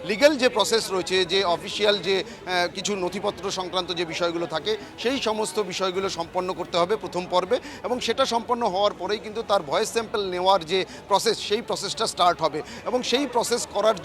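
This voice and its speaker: A man's voice: sits high, fairly bright tone. A man giving a live news report from outdoors, wordy and emphatic, often restating his phrases. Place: outdoors in a city, outside a courthouse.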